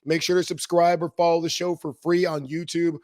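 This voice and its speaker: A hoarse man hosting a sports podcast, talking in a casual, rambling way. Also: fairly high pitch, flat delivery, brisk, darkish tone, dry recording, studio quality. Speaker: podcast host.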